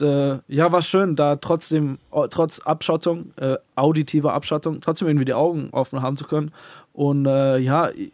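A young man tells stories on a casual podcast, his voice sitting a little high with very little rise and fall. He stops and restarts often and fills the gaps with ums. The recording is close and dry. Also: a little mellow, no room sound. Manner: halting, many fillers and restarts. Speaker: podcast co-host. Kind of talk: casual conversation. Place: living in a city abroad.

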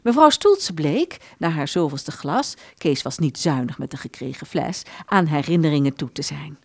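A woman narrating a literary radio play in an expressive storytelling manner. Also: low-pitched, normal speed, creaky, no room sound.

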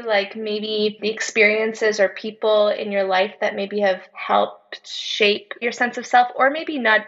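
A woman hosting an interview, asking gentle, somewhat hesitant questions and thanking her guest. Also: medium pitch. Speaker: podcast host. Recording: dull lo-fi audio.

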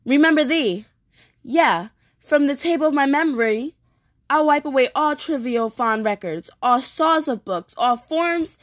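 A woman playing an anguished, vengeful young prince in a declamatory Shakespearean stage monologue with dramatic pauses. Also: high voice, animated, no room sound, clean studio sound. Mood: solemn vow. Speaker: performer rehearsing a soliloquy. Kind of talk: theatrical Shakespearean monologue, repeated takes.

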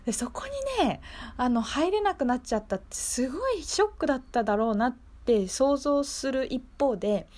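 A woman's voice: high voice, expressive, moderate pace, darkish tone. A woman hosting a local radio show in a casual, chatty, conversational way.

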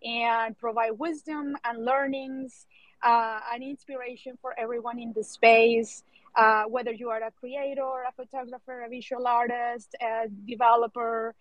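A woman's voice: a little high, flat delivery, moderate pace, no echo. A woman hosting an online audio chat, speaking hesitantly with many fillers. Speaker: host. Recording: dry dull lo-fi audio.

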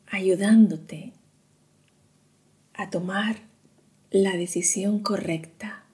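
A woman guiding a meditation in a soothing, hushed manner, leaving long pauses between short phrases. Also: medium pitch, fairly even intonation. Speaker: meditation guide. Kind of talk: slow guided meditation narration.

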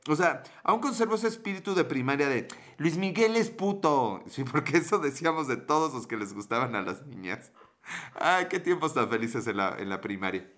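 Mexican male streamer, rambling and crude, bright forward tone. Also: a little high, expressive, normal speed. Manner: joking, crude slang, rambling. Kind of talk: casual livestream chat, reading viewer comments.

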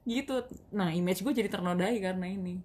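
A young woman with a Betawi-tinged Jakarta accent, co-hosting a casual podcast chat, lively and slangy, often laughing and dramatizing. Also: fairly low pitch, quick pace. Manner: animated, laughing, dramatic self-pity, slangy code-mixing. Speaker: podcast co-host.

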